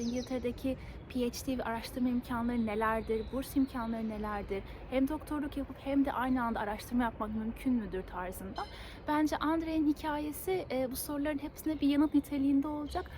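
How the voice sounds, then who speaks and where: Woman interviewer, Turkish accent, high voice, noisy background. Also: darkish tone. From Turkey. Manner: friendly, uses many backchannel sounds. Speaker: interviewer and channel host. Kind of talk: casual interview.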